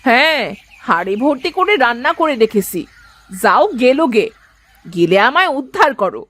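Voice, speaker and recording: A scolding village wife, played by a woman, talks in a lively, fairly high voice with a biting edge. She nags and mocks without letup. The recording is close and dry. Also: normal speed, dry recording, exaggerated voice. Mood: scornful. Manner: scornful, nagging. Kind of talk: Bengali cartoon voice acting.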